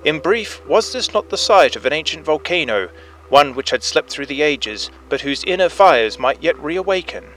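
A man's voice: a little high, lively intonation, a bit bright, pitched above the performer's own voice, low-quality recording. A man as an earnest inspector on old phonograph. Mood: grave, intrigued. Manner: formal, measured, earnest. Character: earnest head police inspector recounting strange events. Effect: old phonograph recording. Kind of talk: dramatic first-person storybook narration. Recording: narration over music, phonograph effect. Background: music.

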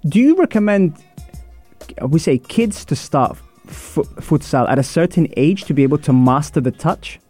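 A man hosting a radio interview show in a studio, asking questions and giving frequent quick agreements. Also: on the high side, lively intonation, warm tone, faint music behind. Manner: affirming, many quick agreements.

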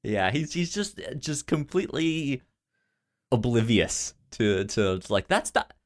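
A man co-hosting a podcast with casual film commentary, halting and stuttering on repeated sounds and words. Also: mid-range voice, big pitch swings. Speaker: podcast co-host.

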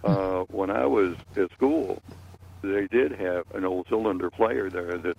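A man's voice: low voice, measured pace, creaky, darkish tone, lo-fi sound. An elderly man in his eighties reminiscing casually in a rambling way, often repeating his words. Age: 80s. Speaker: panelist. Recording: faint background noise.

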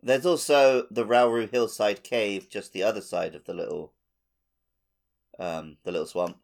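A man's voice: lowish voice, fairly even intonation, moderate pace, vocal fry. A man co-hosting a podcast, talking casually about a video game. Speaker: podcast co-host.

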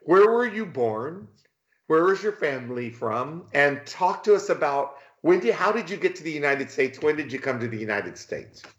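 A man's voice: fairly high pitch, expressive, normal speed. An enthusiastic male talk show host, effusive in his praise and prone to tagging sentences with "right?". His voice sits mid-range, and the audio is lo-fi with a noticeable room echo. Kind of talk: hosting an interview show. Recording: dull lo-fi audio in an echoey room.

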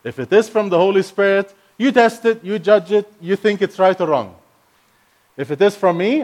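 A man from Egypt, a native Arabic speaker with an Egyptian accent, preaching as a guest speaker in an energetic, playful way with bursts of emphasis. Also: fairly high pitch. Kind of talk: sermon.

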